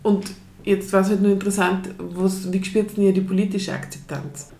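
A woman's voice: medium pitch, level tone, normal speed, darkish tone. A woman hosting a podcast interview, asking open questions in a conversational way. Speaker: podcast host. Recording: roomy echo.

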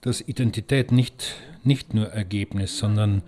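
A man speaking as interviewer and narrator in a measured, scripted style. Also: on the low side, fairly even intonation, dark tone.

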